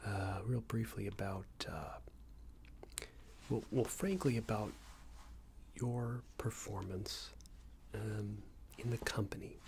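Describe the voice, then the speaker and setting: A man playing a smarmy, passive-aggressive company boss in a soft-spoken ASMR roleplay, hesitant and condescending, often half-whispered. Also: lowish voice, slow pace, slight background noise. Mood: falsely friendly. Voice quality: breathy, half-whispered, creaky. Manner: hesitant, hedging, condescending, rambling corporate small talk.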